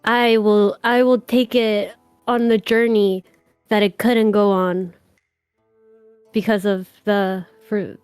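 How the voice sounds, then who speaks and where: An earnest young adventurer who pledges himself in a halting, sincere manner, as a woman plays him. The voice is smooth and slow-paced. It sounds dry and close to the mic. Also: mid-range voice, little pitch movement, darkish tone, no room sound, soft background music, natural voice.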